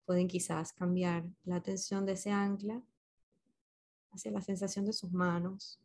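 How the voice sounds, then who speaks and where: A woman leading a guided meditation as a facilitator, speaking gently and unhurriedly with pauses between short instructions. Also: medium pitch, level tone.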